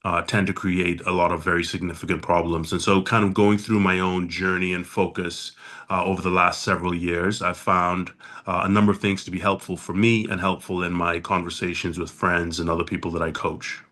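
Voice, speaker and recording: A very deep, low man's voice from the Bahamas, speaking in an even, steady tone that barely rises or falls. He talks in a measured, reflective way, often pausing to find his words as a podcast guest. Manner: measured, thoughtful, with frequent "uh" pauses.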